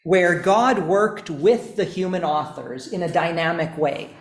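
A man teaching a class, explaining slowly and deliberately with pauses. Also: fairly high pitch. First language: not English, also speaks Estonian. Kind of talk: classroom lecture. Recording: echoey room.

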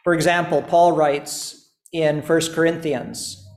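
A man teaches a class at an unhurried, deliberate pace, building his points step by step with examples. His voice sits a little on the high side. The classroom around him gives the sound a noticeable echo. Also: gravelly. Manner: methodical, explains step by step with examples. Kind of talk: classroom lecture. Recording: echoey classroom.

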